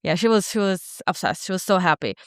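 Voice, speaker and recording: Lower-voiced woman host, smooth and dry. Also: animated, fairly quick, no room sound. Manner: chatty, many "like"s and "yeah"s, acts out quotes. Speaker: podcast host. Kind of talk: casual interview chat.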